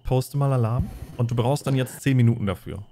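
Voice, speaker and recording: A man runs an online tabletop role-playing game as game master. His medium-pitched voice moves between narration and rules explanation. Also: a little fast, mellow.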